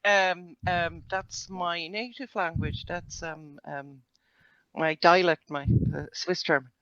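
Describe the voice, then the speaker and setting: A man's voice: fairly high pitch, fairly even intonation, takes it slowly, pushed and bright, dull audio. A man playing in a tabletop game, chatty and hesitant, with many fillers. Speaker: player. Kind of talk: casual tabletop play.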